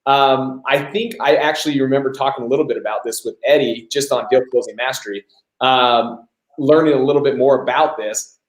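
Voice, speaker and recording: A man's voice: mid-range voice, a little fast, echoey room, rough recording. A man hosting a live question-and-answer session, coaching emphatically and talking straight to the audience. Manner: energetic, emphatic, addresses audience as guys. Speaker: host.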